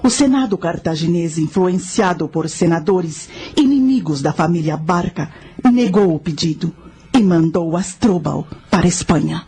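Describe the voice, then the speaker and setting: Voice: medium pitch, normal speed, dark tone, natural voice. A performer playing a solemn guide who explains history in a formal, didactic radio drama narration. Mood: solemn, instructive.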